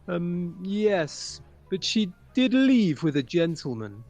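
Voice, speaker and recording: An actor playing a polite, formal male maitre d' in a wartime audio drama, speaking with measured courtesy. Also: high voice, big pitch swings, darkish tone, full character voice. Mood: obliging, hesitant.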